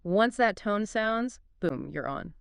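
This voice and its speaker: A woman's voice: mid-range voice, lively intonation, slow pace, gravelly, clear, resonant tone, dry recording, clean studio sound. A woman co-hosting a conversational explainer podcast, engaged and emphatic, with punchy phrasing. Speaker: co-host of an explainer discussion.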